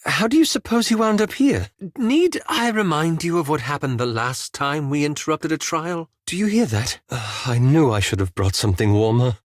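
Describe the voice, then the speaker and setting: An actor playing a wry, anxious, fastidious elf wizard in a video game, with a dry, polite and hesitant manner. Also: male voice, a little high, expressive, no echo, close to an everyday voice. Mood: uneasy, wry.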